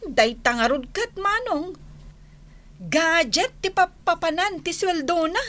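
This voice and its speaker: Excitable tattling woman, Ilocano accent, gossipy, exclamatory, radio drama, very high voice, animated intonation. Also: slow, full character voice. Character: excitable, tattling female relative fussing over the household. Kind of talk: Filipino radio drama acting.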